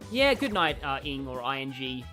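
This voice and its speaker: A woman's voice: extremely low pitch, big pitch swings, moderate pace, sharp tone, soft background music. A woman live streaming a game, thinking aloud in a rambling, opinionated way as she chats with viewers. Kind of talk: casual gaming commentary, chatting with viewers.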